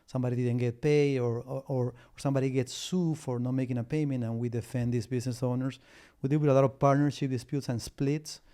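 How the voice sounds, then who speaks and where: Halting Peru-born man with a mellow voice. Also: medium pitch. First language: Spanish. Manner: halting, frequent restarts and fillers. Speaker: guest, business litigation lawyer. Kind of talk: casual interview talk.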